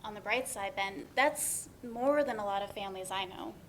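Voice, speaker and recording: A woman's voice: medium pitch, normal speed, fairly bright tone, slight background noise, natural voice, rough recording. A woman playing an earnest young professional in a dramatic reading of a stage play, speaking conversationally with small hesitations. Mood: sympathetic. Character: Kat, earnest, apologetic young professional woman, teasing friend.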